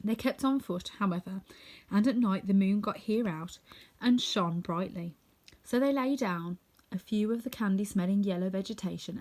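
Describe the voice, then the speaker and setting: A woman narrating a children's storybook in an expressive, scripted reading. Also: mid-range voice. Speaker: storybook reader.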